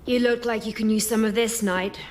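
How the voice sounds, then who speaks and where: An actress playing a composed, commanding woman rebel leader in a video game, measured and poised. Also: a little high, fairly even intonation, a little mellow, slight background noise, close to an everyday voice. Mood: calm, assured. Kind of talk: cinematic video game acting.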